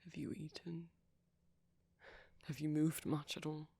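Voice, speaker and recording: A woman's voice: deep voice, level tone, unhurried, vocal fry, husky, dry recording, full character voice. Woman acting a shy, whispery young male vampire. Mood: shy, caring. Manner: hesitant, stammering, softly whispery. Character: shy gentle young male vampire, anxiously considerate boyfriend. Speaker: audio roleplay performer. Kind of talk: ASMR boyfriend roleplay.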